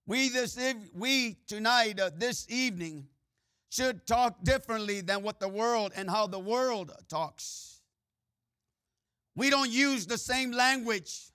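Male preacher, Mexican-Texan accent, bright forceful tone, filler-heavy delivery. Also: very high voice, smooth, no echo, clean studio sound. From Mexico and Texas. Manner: frequent "uh" and "amen" fillers, swings between loud preaching and quiet asides. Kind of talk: sermon.

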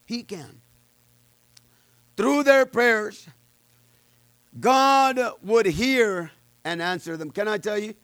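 A man preaching a sermon with personal testimony, loud and fervent, peppering his words with amens and uh's. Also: high-pitched, takes it slowly, pauses often, no echo.

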